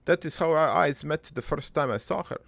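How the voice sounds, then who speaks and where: A man voicing a middle-aged, foreign-born cartoon father who rambles through his life story in a deadpan, halting, improvised monologue with a gravelly voice. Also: on the high side, no room sound. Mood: fond, wistful. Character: rambling, crude, wistful foreign-born immigrant father named Joey.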